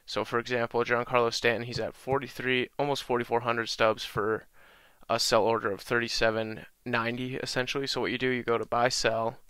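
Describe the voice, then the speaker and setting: A young man with a slight cold-raspy voice, casually walking through a video game tutorial with lots of ums. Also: medium pitch, brisk, no room sound. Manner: relaxed, many ums and uhs, talks through menus. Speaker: gaming channel host.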